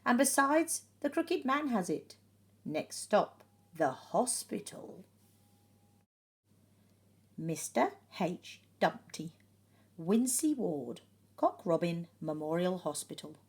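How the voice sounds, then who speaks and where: A woman reading a children's picture book aloud in an expressive way, with dramatic pauses and emphasis. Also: fairly low pitch. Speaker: storybook reader.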